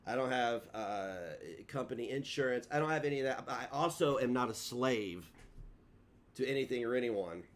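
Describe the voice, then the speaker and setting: A man's voice: medium pitch, moderate pace. Rambling man on a livestream. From Kentucky. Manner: rambling and self-mocking, trips over his words. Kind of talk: casual livestream chat.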